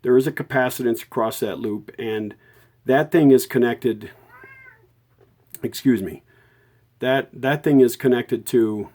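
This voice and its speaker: A man hosting an informal repair tutorial, explaining technical details off the cuff in a halting way and often correcting himself. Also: mid-range voice, mellow. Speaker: host of a vintage radio repair tutorial. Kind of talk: unscripted hands-on technical explanation.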